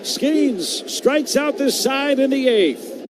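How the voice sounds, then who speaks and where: A man making excited baseball play-by-play calls. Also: extremely high pitch, slow pace. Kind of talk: excited highlight call. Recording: very noisy stadium broadcast clip. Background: loud stadium ambience.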